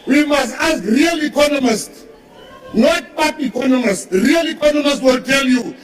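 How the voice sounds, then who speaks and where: High-pitched man, emphatic speech. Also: normal speed. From South Africa. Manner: emphatic, mocking, rallying. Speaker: cabinet minister. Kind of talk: parliamentary speech. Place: large parliament chamber. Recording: echoey hall from far off.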